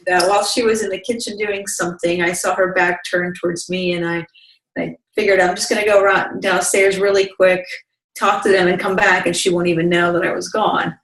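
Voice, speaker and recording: A woman in her thirties, a speaker telling her life story calmly as an interview guest, often restarting her sentences. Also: on the low side. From Bosnia. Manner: calm, measured storytelling with frequent restarts. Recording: distant, roomy sound.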